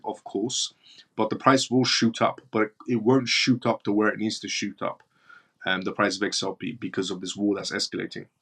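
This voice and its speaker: Blunt guy from iran hosting a live stream, reads out chat questions, keeps hammering the same point, kinda low voice. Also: a bit bright. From northern Iran. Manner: blunt, repeats his points for emphasis. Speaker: live-stream show host. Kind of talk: live-stream talk answering viewer chat.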